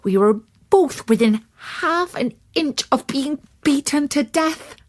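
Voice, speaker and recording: A woman storyteller reading a scripted children's fairytale in the first person, in an expressive, deliberate manner. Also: sits high. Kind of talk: scripted fairytale narration in character.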